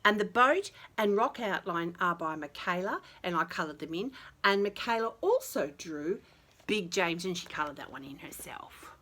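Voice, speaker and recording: Animated, bright-voiced woman reading to children. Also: lowish voice, moderate pace. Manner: expressive, dramatic, chatty with child listeners. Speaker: children's story reader. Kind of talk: animated picture-book read-aloud with casual asides.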